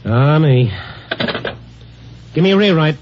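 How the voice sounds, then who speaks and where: An actor playing a world-weary newspaper reporter who narrates with dry wisecracks in a 1950s radio drama, on a dull old broadcast recording. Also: male voice, fairly high pitch, lively intonation, takes it slowly, a little hiss or hum. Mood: wry. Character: wry night-shift male reporter, world-weary.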